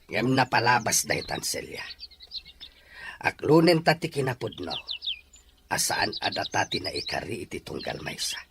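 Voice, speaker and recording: Audio drama casting: man as humble middle-aged Filipino father, earnest and sorrowful, lively intonation. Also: mid-range voice, normal speed, vocal fry, long pauses, background noise. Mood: pleading. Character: regretful, pleading middle-aged father, once too poor. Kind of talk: Ilocano audio drama acting.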